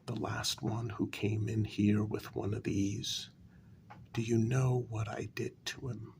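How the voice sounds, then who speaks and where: A man playing a wounded, quietly menacing human who confronts a vampire queen in a soft-spoken ASMR role-play, with a breathy, gravelly half-whisper and deliberate pauses. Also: fairly low pitch, a little hiss or hum. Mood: pleading, menacing. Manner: slow, deliberate, pausing between phrases.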